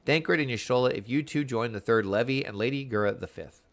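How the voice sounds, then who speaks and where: A man voicing a stern naval admiral from a fantasy game, speaking formally and gravely. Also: mid-range voice, a little fast, a bit bright, lightly acted. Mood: solemn, commanding.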